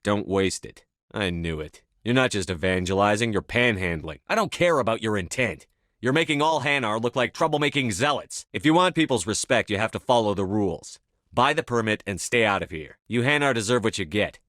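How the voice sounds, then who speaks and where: A man's voice: lowish voice, expressive, fairly quick, a bit bright, no echo, studio quality. A man playing a resolute, blunt military commander in a science fiction video game, speaking firmly and tersely with authority. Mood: stern. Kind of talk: scripted game dialogue.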